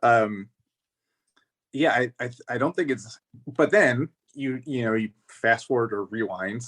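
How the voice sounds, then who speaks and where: A man's voice: mid-range voice, measured pace, a bit bright. A man talking casually as a podcast panelist, working through his points in a hesitant, circling, analytical way. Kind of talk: casual discussion of a TV series.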